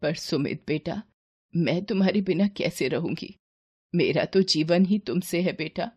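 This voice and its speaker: A woman acts out a frail elderly mother from a cartoon melodrama. She is tender and self-sacrificing, with a slow, weak delivery. Her words come out as sorrowful pleading. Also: on the low side, a little mellow, husky. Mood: pleading, heartbroken. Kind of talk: melodramatic Hindi cartoon story acting.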